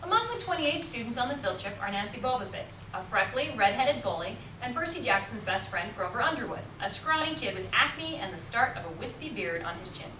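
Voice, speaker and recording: A woman's voice: fairly high pitch, very animated, brisk, hardly any pauses. A woman reading scripted story narration for amateur reader's theater. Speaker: teacher, narrator. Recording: echoey room, distant mic, clear noise.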